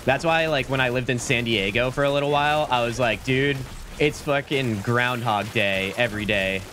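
A man in his thirties streaming a game from a small home room on a desk mic, chatting casually and rambling, his voice a little deeper from being sick. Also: moderate pace, sharp tone, a little hiss or hum. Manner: laid-back, rambling, says "like" and "dude" a lot. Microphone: studio mic at desk, webcam framing. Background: game music.

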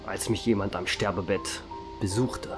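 A man voicing an ancient male vampire barkeeper who tells his past in a calm, dry way, with music behind him. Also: low-pitched, normal speed, natural voice. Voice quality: calm, dry, wry storytelling. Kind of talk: amateur horror audio drama.

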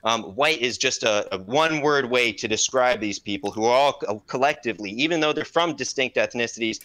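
Bright, halting young debater man. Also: medium pitch, low-quality recording. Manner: halting, repeats words, insistent. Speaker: debate caller. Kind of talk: casual online debate.

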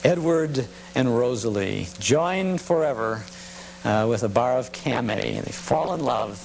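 Guy hosting an old tv nostalgia show, creaky voice, kinda halting and chatty, faint hiss. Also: medium pitch, animated, low-quality recording. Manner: conversational, halting, reminiscing. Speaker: host of nostalgia program. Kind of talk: informal commentary on vintage commercials.

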